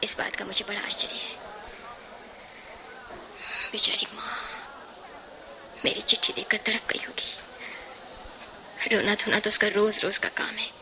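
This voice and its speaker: An actress in a radio play voicing an anguished, self-sacrificing young woman in love, with an earnest, breathy, emotional delivery. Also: medium pitch, level tone, measured pace, pauses often, sharp tone, a little hiss or hum. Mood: troubled, regretful. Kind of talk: Hindi radio play acting.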